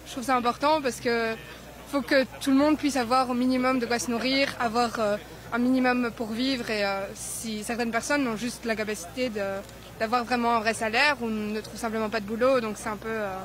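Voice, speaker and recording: A woman's voice: on the high side, fairly even intonation, fairly quick. A young woman student answering street interview questions outdoors, thoughtful and hesitant. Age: young adult.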